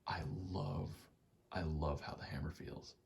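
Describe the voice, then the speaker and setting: A soft-spoken man giving rambling ASMR game commentary, halting and casually profane, often slipping into a whisper. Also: very deep voice, fairly even intonation, vocal fry. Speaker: gaming video creator.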